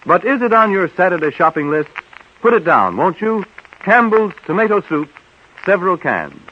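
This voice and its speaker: A muffled, slightly noisy vintage radio recording of a male announcer. His polished, persuasive delivery is bright and lively in pitch. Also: normal speed.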